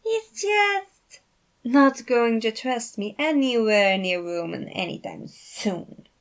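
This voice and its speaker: A woman's voice: a little high, animated, slow pace. A woman voicing a sly, theatrical, touchy man with a dramatic, huffy delivery in a story reading. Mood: huffy, hurt. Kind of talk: acted dialogue in a fan fiction reading.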